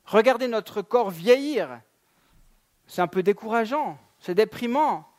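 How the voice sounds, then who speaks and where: A high-voiced man in his thirties delivers a church sermon. He keeps it conversational, mixing fillers and relaxed asides into his preaching. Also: measured pace. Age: 30s. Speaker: pastor. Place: church sanctuary.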